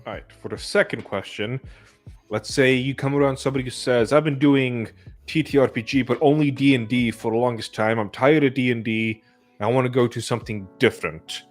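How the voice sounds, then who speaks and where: A man's voice: medium pitch, fairly even intonation, brisk, soft background music. A man hosting a casual tabletop gaming discussion, putting questions to the other speakers. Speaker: host of a discussion. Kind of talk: casual panel talk about tabletop games.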